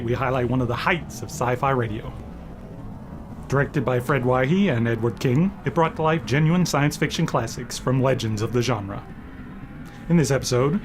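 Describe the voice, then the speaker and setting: A young adult man hosting with a scripted, dramatic introduction. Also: medium pitch. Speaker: host.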